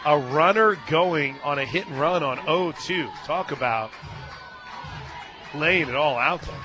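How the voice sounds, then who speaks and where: Higher-pitched male softball play-by-play announcer. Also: measured pace. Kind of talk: live softball radio commentary. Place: stadium radio booth. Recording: crowd noise behind. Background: ballpark crowd and music.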